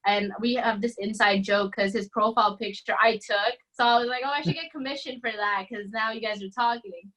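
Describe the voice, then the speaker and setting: A woman hosts a relaxed podcast conversation, talking in a loose, hesitant way full of fillers and restarts. Her voice sits a little higher than most women's. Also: brisk, hardly any pauses, rough recording. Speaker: podcast host. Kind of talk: casual chat.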